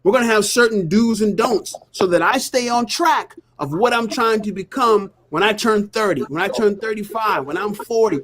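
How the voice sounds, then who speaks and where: A man's voice: high-pitched, moderate pace, few pauses, a bit bright. A man co-hosting a casual live stream, laying out his views earnestly and repeating points to drive them home. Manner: earnest, explanatory, builds points by repetition; frequent "you know what I'm saying". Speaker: co-host of a live talk stream.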